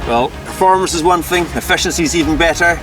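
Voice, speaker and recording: A man's voice: fairly high pitch, quick pace. Upbeat male presenter, mic at a distance. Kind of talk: casual on-location presenting. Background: clear background music.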